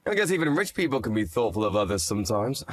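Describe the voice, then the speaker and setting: A man's voice: fairly low pitch, very animated, fairly quick. A man playing a candid, gossipy, skeptical guy in a scripted story drama. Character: skeptical, gossipy man, old high-school acquaintance.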